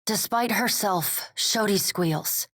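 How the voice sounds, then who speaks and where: A woman reading game narration in a measured, descriptive manner. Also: mid-range voice, a bit bright, no echo. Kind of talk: scripted narration. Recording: dry studio recording.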